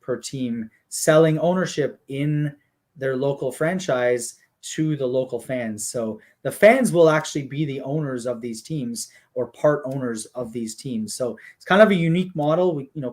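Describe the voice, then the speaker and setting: A man explaining a business plan in casual conversation, steady and full of fillers like "you know" and "um". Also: medium pitch. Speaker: guest explaining a hockey league business model.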